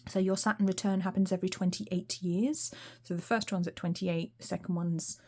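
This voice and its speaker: Mellow-voiced woman with a weak, slightly hoarse voice. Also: on the low side, fairly even intonation, normal speed. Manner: musing, thinks aloud with frequent ums. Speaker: tarot reader. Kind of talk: relaxed solo tarot reading.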